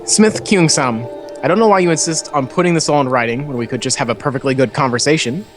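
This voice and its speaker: A man voicing a gossipy Valley Girl-style letter writer in a visual novel, chatty and informal, with drawn-out words. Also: mid-range voice, close to an everyday voice. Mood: chatty, dismissive. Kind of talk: acted reading of visual novel dialogue. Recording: music playing clearly behind.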